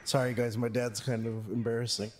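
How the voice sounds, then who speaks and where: A man voicing an exasperated grown son answering his embarrassing dad in a flat, weary way. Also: medium pitch, brisk, soft background music, pitched below the performer's own voice, lightly acted. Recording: roomy echo.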